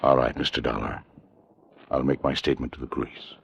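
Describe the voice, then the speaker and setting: Very deep, gravelly, guilt-ridden husband. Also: male voice, steady tone, fairly quick, a bit bright, full character voice. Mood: resigned, confessing. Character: guilt-ridden married man confessing, stern with his wife. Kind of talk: 1950s radio drama acting. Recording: dull vintage radio recording.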